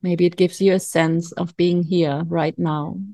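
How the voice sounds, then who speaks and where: Slow, mellow woman guiding meditation. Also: on the low side, no room sound. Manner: slow, calm, soothing instruction with long pauses. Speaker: meditation coach. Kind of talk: guided meditation.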